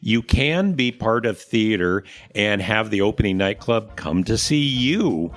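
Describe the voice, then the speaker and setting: Low-voiced man hosting a casual chat. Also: animated, a little slow. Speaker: radio show host.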